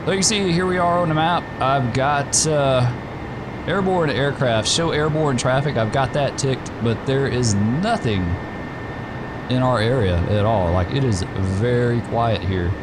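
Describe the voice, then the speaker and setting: A slightly hoarse man hosts a gaming stream, chatting through his flight in a relaxed, rambling way full of fillers. His pitch sits mid-range. A steady hum of background noise stays under his voice. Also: unhurried. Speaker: gaming channel host. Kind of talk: casual gameplay commentary.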